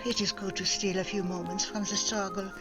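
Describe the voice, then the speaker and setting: A woman's voice: medium pitch, little pitch movement, a little fast, music in the background, lightly acted. An actress playing a proud, glamorous young Frenchwoman in a 1940s-style radio drama, speaking formally and romantically. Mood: wistful, romantic. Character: proud glamorous young French woman, resistance money smuggler.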